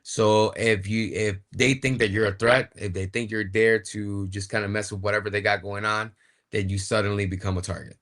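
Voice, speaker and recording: A man with a lowish voice explains a place in a relaxed, conversational tone. He talks plainly, with some fillers, like a guest on a talk show. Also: moderate pace. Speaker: wrestler guest. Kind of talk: casual chat.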